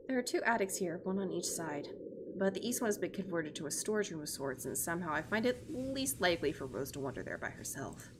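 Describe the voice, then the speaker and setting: A woman's voice: on the low side, animated, fast talker, noisy background. A woman streamer reading a visual novel aloud, expressively and theatrically, with joking asides. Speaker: streamer, virtual YouTuber.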